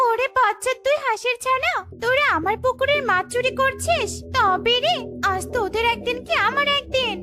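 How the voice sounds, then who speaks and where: A woman voicing a feisty but soft-hearted female bird who owns a pond in a cartoon, shrill and emphatic. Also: expressive, normal speed, over loud music. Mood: angry.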